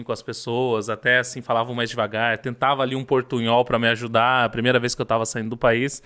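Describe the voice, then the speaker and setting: A man's voice: medium pitch, fairly bright tone, clean tone. Conversational man asking follow-up questions. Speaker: host. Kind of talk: casual interview chat.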